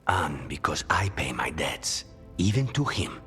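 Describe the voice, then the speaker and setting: A low-voiced, brooding assassin who shares his body with a demon, from a video game cutscene. He speaks gravely and with measure, in a forceful tone that drops to a hush at phrase ends, over faint music. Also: sing-song, a little slow, heavily acted. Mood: guarded, resolute.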